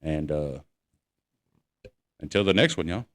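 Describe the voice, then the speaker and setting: Guy w/ a deep voice, slangy laid back podcast chat. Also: animated, a little slow. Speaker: podcast co-host. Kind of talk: casual chat.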